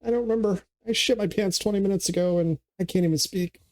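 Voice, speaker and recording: A man's voice: high-pitched, quick pace, darkish tone. An older man hosting a movie reaction, cracking profane jokes and talking back at the screen. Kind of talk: casual movie reaction commentary.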